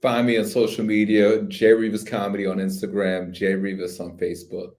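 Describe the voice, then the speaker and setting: A man's voice: on the low side, little pitch movement, fairly quick, darkish tone. A man, a comedian guest on a podcast, laid-back in casual chat.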